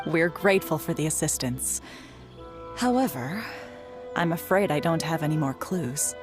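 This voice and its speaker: A woman's voice: low-pitched, expressive, moderate pace, over music. A woman voicing a polite, caring female tea house worker in a video game, speaking earnestly. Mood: concerned, polite.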